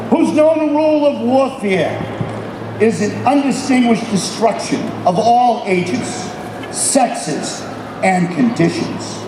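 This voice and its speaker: A man giving a formal, solemn public reading of a proclamation as a ceremonial officer, projecting loudly with deliberate pauses between phrases. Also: extremely high pitch. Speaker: military company captain giving a ceremonial reading. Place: public ceremony at an old statehouse. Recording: distant, echoey public address with background noise.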